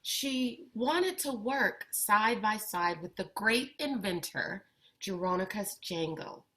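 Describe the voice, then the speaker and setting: A woman reading a children's picture book aloud with deliberate, expressive phrasing. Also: mid-range voice. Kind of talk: read-aloud storytelling for children.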